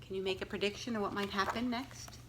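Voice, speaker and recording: A woman with a mid-range voice reads a picture book to young children. She phrases deliberately and varies her emphasis to tell the story, speaking as a school librarian would. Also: medium pitch, steady tone, background noise. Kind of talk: expressive picture-book read-aloud with questions to young listeners.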